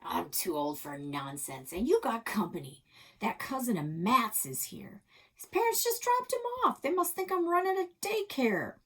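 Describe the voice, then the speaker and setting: A grumpy old grandpa, as a woman reader puts him on from a children's story. He sounds gruff and exasperated, with a husky edge to his scolding. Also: on the high side, sing-song, moderate pace, voice pushed up.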